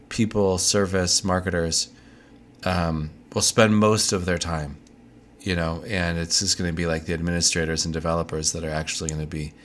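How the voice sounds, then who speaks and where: A man's voice: low-pitched, a bit bright, slight background noise. A man hosting a casual news roundup, talking loosely with fillers and asides while getting over a cold. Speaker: tech video host. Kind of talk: casual monthly news overview.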